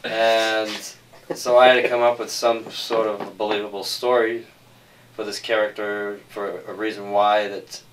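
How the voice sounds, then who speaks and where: A man's voice: lowish voice, little pitch movement, unhurried. A man answering interview questions as a screenwriter, explaining his work. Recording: slightly distant mic with background noise.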